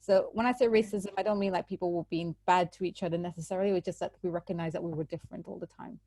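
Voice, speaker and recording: A woman's voice: on the low side, fairly even intonation, dark tone, no room sound. Woman explaining things carefully. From Trinidad and Tobago. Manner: measured, explanatory, qualifies her points. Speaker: guest. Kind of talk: personal account in conversation. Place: church recording.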